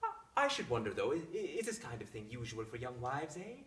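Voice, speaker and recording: A man's voice: medium pitch, wildly swooping pitch, a little fast, fairly bright tone. A man playing a naive, fretful young scholarly husband in a stage drama, stammering and often ending remarks with "eh?". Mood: flustered. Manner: stammering, flustered, ends remarks with "eh?". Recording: roomy echo, distant microphone.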